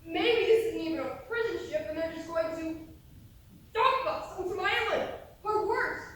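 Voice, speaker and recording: A woman plays an anxious, stubborn young emigrant with an extremely high voice. The insistent stage delivery rings through a very echoey hall, distant from the mic. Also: normal speed. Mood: anxious, agitated. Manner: insistent, agitated. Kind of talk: stage play acting. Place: theatre stage, recorded from a distance.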